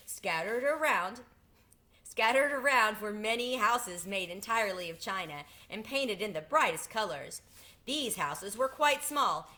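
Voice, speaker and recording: A woman's voice: medium pitch, bright, forceful tone. A woman reading a children's storybook aloud as a storyteller.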